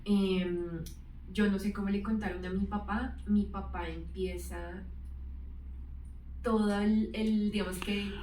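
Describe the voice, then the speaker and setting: A woman's voice: mid-range voice, fairly even intonation, slow, dark tone. A woman in her thirties, a native English speaker, telling a personal testimony as a guest, with many hesitant fillers. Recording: roomy, distant mic, faint background noise.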